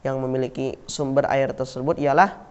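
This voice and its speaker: A man's voice: mid-range voice, fairly even intonation, normal speed, a little mellow, clear, resonant tone. A man with an Indonesian accent, teaching a class on Islamic history, who repeats key words and asks and answers his own questions, with frequent fillers like "nah". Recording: dull lo-fi recording.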